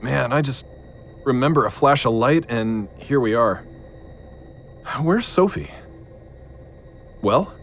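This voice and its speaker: A man acts out a troubled male occult investigator in an audio drama. He is blunt and exasperated, with very animated intonation. Clear background noise accompanies the voice. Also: mid-range voice, slow, pauses often. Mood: disoriented, alarmed.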